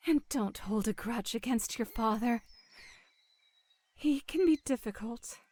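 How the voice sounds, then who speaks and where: A dying mother in a dramatic game scene. Her voice sits fairly high and moves very slowly. She is gentle, selfless and soothing, full of tender care. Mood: tender, sorrowful. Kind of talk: emotional dramatic game voice acting.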